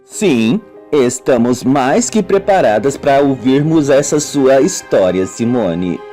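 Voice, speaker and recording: A woman playing an inquisitive woman listener, measured and probing, in an amateur radio soap. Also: a bass voice, expressive, warm tone, soft background music, heavily acted. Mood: solemn, eager. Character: Sônia, inquisitive woman listener pressing for the story.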